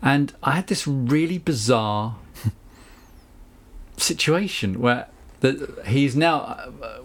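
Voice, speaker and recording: A man's voice: mid-range voice, slightly rough. Chatty Southern English man telling stories. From England. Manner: anecdotal, chatty, with frequent asides and laughs. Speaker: guest, recording engineer and producer. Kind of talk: relaxed interview storytelling.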